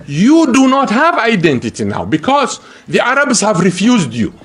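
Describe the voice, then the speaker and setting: A man's voice: high-pitched, wildly swooping pitch, moderate pace, fairly bright tone, slightly rough. A man from Africa giving a public talk as a lecturer, emphatic and humorous, repeating phrases for effect. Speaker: university lecturer.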